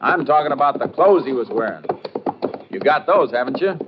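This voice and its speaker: An actor playing a seasoned, plain-spoken Texas Ranger detective with an American accent in 1950s radio drama style. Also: male voice, medium pitch, expressive, moderate pace, noisy background, lightly acted. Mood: insistent. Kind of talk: 1950s radio drama acting and first-person narration. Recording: muffled 1950 radio drama recording.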